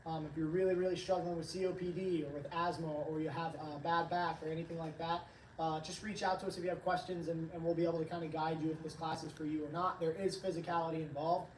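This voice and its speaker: A hoarse man hosting a casual livestream, upbeat and rambling as he reads chat comments aloud. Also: a little high, little pitch movement, fast, a little hiss or hum. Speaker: livestream host, training company staff. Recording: roomy, distant from the mic.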